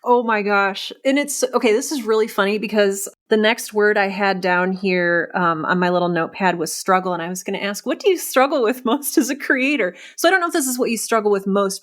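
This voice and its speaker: A woman's voice: mid-range voice, fairly quick. A woman hosting a conversational interview in an informal, earnest and effusive manner. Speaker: podcast host, interviewer.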